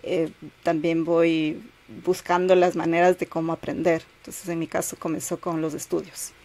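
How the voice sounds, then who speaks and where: Latin american woman, lowish voice, lots of "o sea" and pauses while she thinks. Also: flat delivery, dark tone. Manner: hesitant, thinking aloud with many fillers. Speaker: podcast guest. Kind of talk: casual podcast chat.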